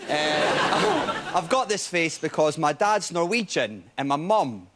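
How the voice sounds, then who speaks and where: High, lively young Scottish man. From Scotland. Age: 20s. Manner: quick, animated storytelling with punchlines. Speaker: stand-up comedian. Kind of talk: stand-up set. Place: TV studio stage before a live audience. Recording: audience laughter and crowd noise.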